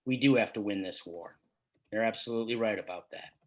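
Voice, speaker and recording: A man voicing a polite, reserved young man who speaks quietly. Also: on the low side, brisk, pitched below the performer's own voice, lightly acted.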